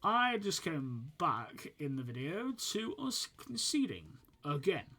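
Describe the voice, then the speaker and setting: A man's voice: on the high side, wildly swooping pitch, slow, sharp tone. A young man, slightly sniffly from a fading cold, casually commentating his football management game while thinking aloud with many fillers. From United Kingdom. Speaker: gaming channel host.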